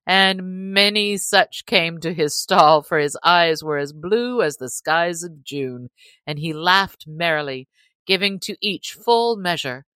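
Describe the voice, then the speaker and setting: A woman narrates an old-fashioned tale in a lowish voice. Her delivery is slow and expressive, shaped for storybook listening, and the recording is dry. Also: dry recording. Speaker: audiobook narrator.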